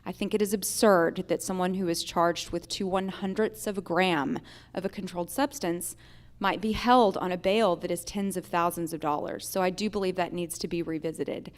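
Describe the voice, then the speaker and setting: Woman candidate at a public forum. Smooth, resonant voice, measured formal delivery, emphatic on key points. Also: fairly low pitch, fairly even intonation. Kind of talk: prepared political forum answers.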